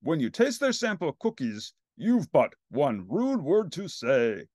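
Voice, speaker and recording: A man's voice: a little high, sing-song, unhurried, darkish tone, no room sound, clean studio sound. A man voicing a friendly old king, an exasperated doting father pleading in a regal manner in a storybook.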